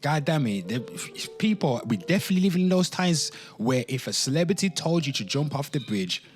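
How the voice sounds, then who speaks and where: A man hosts a casual online commentary show with faint music behind him. His pitch swings widely as he rambles, repeats himself for emphasis and slips into dramatic readings. The talk is slangy and addressed straight to his listeners. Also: very animated, a little fast. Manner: slangy, frequently swearing, addressing listeners as people.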